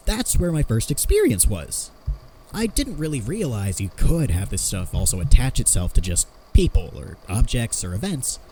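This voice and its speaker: Eager self-deprecating male inventor actor role, audio drama, lively swooping delivery, soft-edged tone, chatty and rambling. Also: medium pitch, a little hiss or hum, natural voice, rough recording. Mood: sheepish, earnest. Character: eager amateur inventor explaining his homemade theory of strange phenomena. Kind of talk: fan fiction audio drama, conversational monologue.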